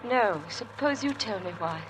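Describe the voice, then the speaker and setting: An actress playing an anxious, devoted young wife with an earnest, hesitant delivery, in 1940s radio drama style. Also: female voice, on the high side, expressive, normal speed, noisy background, close to an everyday voice. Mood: tender, probing. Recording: old 1940s radio broadcast.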